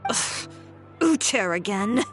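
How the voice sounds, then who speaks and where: A woman's voice: medium pitch, sing-song, slow pace, music in the background. An actress playing a blunt, self-assured, cool and impatient businesswoman in a video game. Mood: exasperated.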